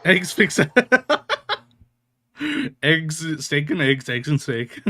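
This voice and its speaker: A man's voice: on the high side, drawn-out words, sharp tone. A man giving casual, playful commentary as he streams a video game, often exclaiming and talking to the characters.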